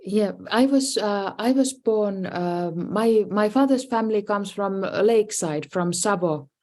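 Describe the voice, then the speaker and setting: A woman's voice: mid-range voice, unhurried, darkish tone. A middle-aged woman with a Finnish accent, talking through her life in a casual interview, hesitant, with many restarts and "you know, like" fillers. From Finland. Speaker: design professor and dean, guest.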